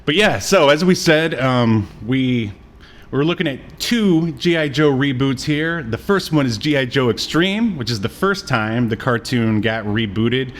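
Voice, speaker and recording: A man's voice: mid-range voice, lively intonation, unhurried, slight background noise. A man in his late thirties co-hosting a podcast in casual chat, with a jokey, wry manner. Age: late 30s. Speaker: podcast co-host. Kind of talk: casual chat about old cartoons.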